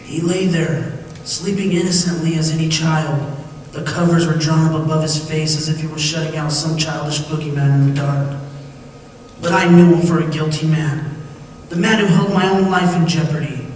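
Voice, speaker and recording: A man's voice: fairly high pitch, fairly even intonation, normal speed, a little mellow, lightly acted. An actor playing an anguished condemned man who narrates a night among ghosts in florid, theatrical radio drama style. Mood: hushed. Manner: impassioned, theatrical, florid declaiming. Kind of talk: old-time radio theatre acting. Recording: very echoey, distant, noisy radio play.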